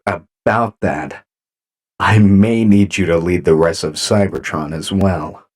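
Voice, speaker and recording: An actor playing a calm, courteous robot commander in an audio drama, measured in manner. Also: male voice, deep voice. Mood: earnest, friendly.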